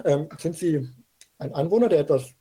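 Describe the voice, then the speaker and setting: A man playing a flustered, stammering know-it-all chief detective in a youth radio play, with a husky, hesitant voice. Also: fairly high pitch, animated, normal speed, noisy background, natural voice. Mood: flustered, unsure. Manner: hesitant, stammering, fillers everywhere.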